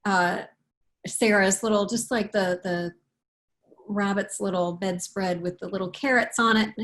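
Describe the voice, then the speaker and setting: A woman speaking as an author in a casual interview, thoughtful and halting, often restarting and rewording her sentences. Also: mid-range voice, a bit bright. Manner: halting, thoughtful, with repeated self-corrections. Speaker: picture book author being interviewed.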